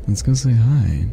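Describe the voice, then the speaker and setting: Guy playing a teasing half-dragon college dude, flirty asmr roleplay, low voice n kinda gravelly. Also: dark tone, exaggerated voice. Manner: teasing, sardonic, unhurried. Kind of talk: intimate ASMR roleplay acting.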